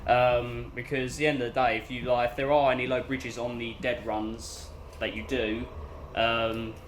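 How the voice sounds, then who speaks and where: A young man with a London accent chatting casually as a bus driver, his voice hoarse and croaky, rambling with lots of fillers. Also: medium pitch, level tone, moderate pace, echoey room, a little hiss or hum. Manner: rambling, filler-heavy, loses his train of thought. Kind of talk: casual vlog chat. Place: inside a bus cab.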